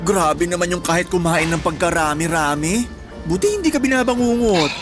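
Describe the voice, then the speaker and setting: A woman's voice: on the low side, normal speed, lightly acted. A woman playing a bossy, caring older-sister friend in a Filipino radio drama, slangy and scolding, with a Filipino accent. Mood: sassy, nagging. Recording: music bed under the dialogue.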